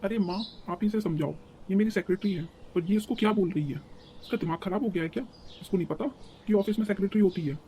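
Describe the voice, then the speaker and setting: A woman's voice: medium pitch, fast, mellow, a little hiss or hum, natural voice. A woman playing an exasperated, hot-tempered young city husband in a cartoon, scolding and impatient. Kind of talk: cartoon story dialogue.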